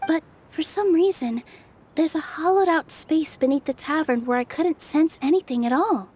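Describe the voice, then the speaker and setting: Woman voicing a gentle, high-voiced young heroine. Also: normal speed, a little hiss or hum, lightly acted. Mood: wondering. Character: gentle, earnest young woman dancer with newfound fairy-tale magic. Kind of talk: English game dub voice acting.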